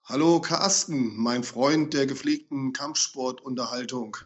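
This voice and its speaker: A congested man co-hosting a casual combat-sports podcast, his voice still stuffy from a cold. He chats with joking enthusiasm. His pitch sits in an ordinary middle range for a man. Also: a little slow, highs cut off. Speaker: podcast co-host.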